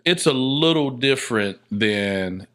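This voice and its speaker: Bright, forceful man explaining his craft. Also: mid-range voice, animated, slow, clean tone. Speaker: podcast guest, filmmaker and playwright. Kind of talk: casual explanatory interview talk.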